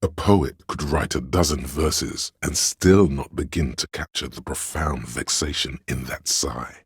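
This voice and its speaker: A man's voice: a little slow, no room sound, clean studio sound, full character voice. A man voicing a grandiose, rapturous inner voice of artistic imagination in a video game, with theatrical, savouring delivery of poetic lines. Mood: brooding, poetic. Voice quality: very deep, gravelly, husky. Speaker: game voice actor. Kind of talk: dramatic literary game narration.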